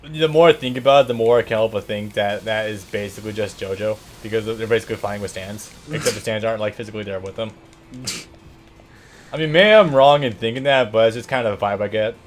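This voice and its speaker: A man's voice: fairly low pitch, fairly quick. A man co-commentating a let's play in casual, laid-back chat, joking and swearing now and then. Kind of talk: casual chat over gameplay. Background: game music.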